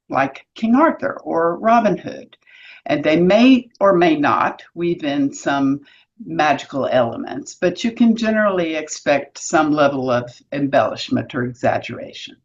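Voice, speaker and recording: Woman explaining, slightly muffled recording. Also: low-pitched. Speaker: presenter of an educational video series, author and workshop leader. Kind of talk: semi-scripted educational explainer.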